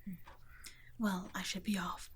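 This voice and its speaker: A woman playing a gentle, protective vampire caretaker in an intimate ASMR roleplay, speaking softly and breathily, often nearly whispering. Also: mid-range voice, little pitch movement, unhurried, a little hiss or hum, natural voice. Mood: gently firm. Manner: soft, breathy, tender, often dropping to a whisper.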